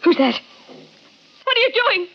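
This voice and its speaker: An actress playing a cold, resolute young estranged wife in an old-time radio crime drama, dramatic and sharply emphatic. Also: female voice, extremely high pitch, very animated, normal speed, vocal fry, loud music behind the voice, heavily acted. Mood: alarmed, indignant. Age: young adult. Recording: muffled, very noisy vintage radio recording.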